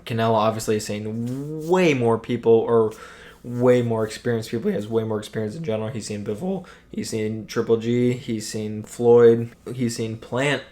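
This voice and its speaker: A man's voice: mid-range voice, moderate pace, dark tone. A young man casually giving his boxing fight prediction, rambling with many fillers while his voice keeps cracking. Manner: rambling, many ums and you knows. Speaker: boxing commentator. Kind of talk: casual solo prediction talk.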